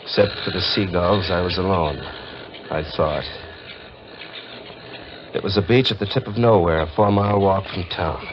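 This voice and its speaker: Earnest young man, acted by a man, American accent, lowish voice, intense, 1940s radio drama, clearly noisy recording. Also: normal speed, pauses often, muffled recording, natural voice. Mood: brooding, reminiscing. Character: earnest, anguished young man, a small-town fisherman.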